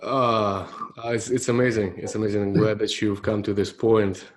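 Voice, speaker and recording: A man's voice: lowish voice, level tone, normal speed. A man hosting a podcast interview, speaking with a non-native English accent, upbeat with short emphatic reactions. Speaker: podcast host.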